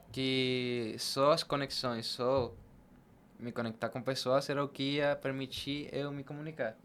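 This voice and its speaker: A man's voice: medium pitch, slight background noise. A young man from Latin America, telling his personal story conversationally as a podcast guest.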